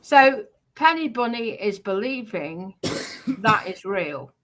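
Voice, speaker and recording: A woman chatting casually and explaining in a halting way, repeating phrases for emphasis. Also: mid-range voice, sing-song, noisy background.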